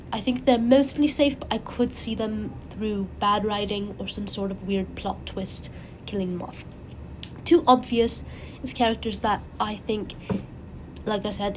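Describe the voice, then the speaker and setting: A woman with a cold, her voice raspy and congested, chatting casually and rambling animatedly as the host of her own channel. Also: medium pitch, slight background noise, rough recording. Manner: rambling, animated, frequent fillers and restarts.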